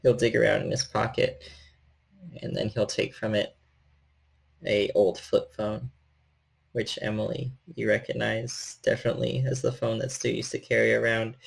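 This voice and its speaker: A man's voice: on the low side, little pitch movement, measured pace, vocal fry, slightly rough. A man narrating a tabletop horror game as its storyteller and host.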